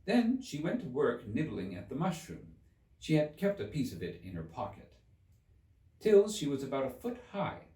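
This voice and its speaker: Lively male storybook narrator in an echoey room. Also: medium pitch, normal speed. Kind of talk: expressive scripted narration of a children's classic. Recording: roomy echo, distant mic.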